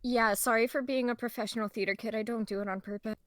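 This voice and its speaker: A woman's voice: on the high side, steady tone, fast, a bit bright, no echo, studio quality. A young woman voice actor chatting casually on a panel. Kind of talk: casual chat.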